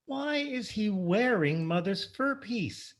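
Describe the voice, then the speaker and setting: A man's voice: high-pitched, lively intonation, takes it slowly, exaggerated voice. A man playing a timid, dutiful grown son in a comic radio play, meek and earnest. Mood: anxious. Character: timid, dutiful grown son under his mother's thumb. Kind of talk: comic old-time radio play acting.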